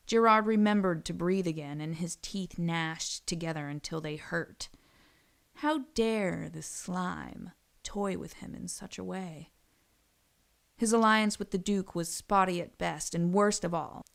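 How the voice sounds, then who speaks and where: A woman's voice: lowish voice, gravelly. A woman narrating a scripted audio story.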